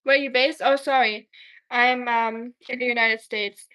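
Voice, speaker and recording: A woman's voice: on the high side, steady tone, moderate pace, bright, forceful tone, rough recording. Chatty German-accented woman cooking live. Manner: chatty, reads and answers viewer comments while cooking. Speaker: livestream cooking host. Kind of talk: casual cooking livestream chat.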